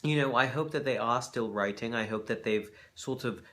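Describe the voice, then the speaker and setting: A man giving thoughtful, halting commentary as the host of a reaction video. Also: mid-range voice, pushed and bright. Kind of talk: reflective commentary.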